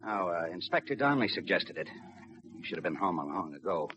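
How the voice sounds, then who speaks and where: Deep-voiced 1950s radio police lieutenant. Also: male voice, big pitch swings, brisk. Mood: subdued, matter-of-fact. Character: steady, businesslike railroad police lieutenant, narrating his case. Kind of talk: 1950s radio crime drama narration and dialogue. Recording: muffled old radio recording, crowd noise.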